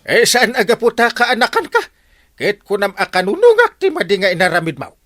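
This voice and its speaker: Wildly animated Ilocano village captain. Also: male voice, sits high, brisk, soft background music. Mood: exasperated scolding. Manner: animated, sputtering, repeats exclamations. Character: exasperated village captain scolding his wayward men. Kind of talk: Ilocano comedy radio drama.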